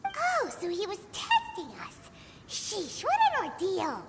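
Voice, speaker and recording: A woman voicing a tiny, childlike, cheeky fairy companion in a video game, with a squeaky voice. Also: wildly swooping pitch, slow pace, heavy background noise, heavily acted. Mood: cheeky, exasperated. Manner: speaks of herself in third person, exclaims. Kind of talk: video game character acting. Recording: loud game music behind.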